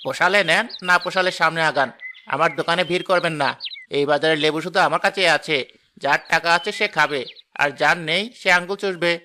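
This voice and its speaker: A greedy, scornful cartoon market seller, played by a man. He talks in brash, mocking hawker's patter. His voice is bright and forceful and sits a little high, with faint noise behind it. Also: a little fast. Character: greedy, rude male market fruit seller. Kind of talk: cartoon voice acting.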